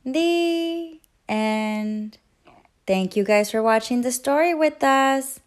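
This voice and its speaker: Female children's storyteller, high register, very unhurried delivery, expressive and interactive with young listeners. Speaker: teacher and children's read-aloud host. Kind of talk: children's picture book read-aloud with sung refrains.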